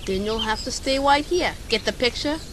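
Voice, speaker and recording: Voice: mid-range voice, lively intonation, moderate pace, natural voice. A young-sounding amateur actor playing a bumbling, pompous male private eye in a radio drama, theatrical and self-important. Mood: pompous questioning. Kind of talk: amateur radio drama detective narration and dialogue. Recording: hissy, noisy amateur home recording.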